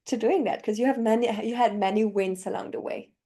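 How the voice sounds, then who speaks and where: A woman's voice: on the high side, brisk, dark tone. A young woman in her twenties whose first language is German, coaching on language learning and eagerly walking through her method step by step in an interview. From Northern Italy. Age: 20s. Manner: enthusiastic, explanatory, walks through steps with examples. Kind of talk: interview conversation.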